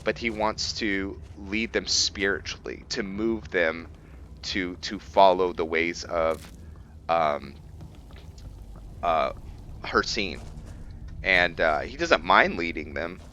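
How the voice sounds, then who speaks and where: A man giving casual gameplay commentary and explaining character lore, haltingly thinking aloud as he goes. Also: deep voice, lively intonation, sharp tone, slight background noise. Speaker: gameplay host and role-player.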